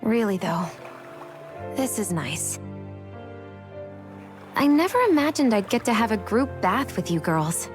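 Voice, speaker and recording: Mid-pitched young heroine, music behind. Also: female voice, very animated, unhurried, pauses often. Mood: happy, touched. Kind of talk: video game cutscene acting.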